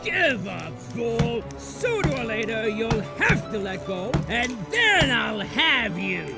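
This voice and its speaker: An actor voicing an arrogant mad scientist villain, theatrical, gloating and menacing, in a comic book dub. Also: male voice, very high voice, sing-song, takes it slowly, gravelly, exaggerated voice. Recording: music behind the voice.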